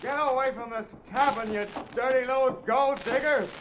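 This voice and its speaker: High-pitched drunken husband. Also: male voice, fairly even intonation, normal speed. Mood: drunken rage. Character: drunken, bitter husband, ranting and surly. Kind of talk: 1940s radio acting. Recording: muffled, very noisy 1940s radio recording.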